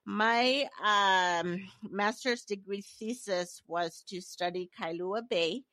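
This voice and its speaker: A middle-aged woman from Nigeria, a company founder and guest, answering interview questions in a measured way with frequent fillers. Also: medium pitch, sharp tone, dry recording.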